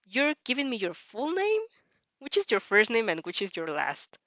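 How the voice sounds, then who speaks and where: A woman voicing a friendly, earnest young female vampire in a story read-aloud, chatty and expressive. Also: fairly high pitch, moderate pace, no echo, dull audio, heavily acted. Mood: friendly, curious.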